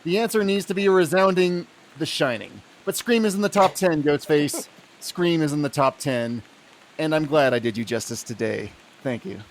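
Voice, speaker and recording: A man with a moderately high voice speaks casually as the defense in a comedy podcast. His talk is conversational and comic. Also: expressive, normal speed, slight background noise. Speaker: mock-trial defense.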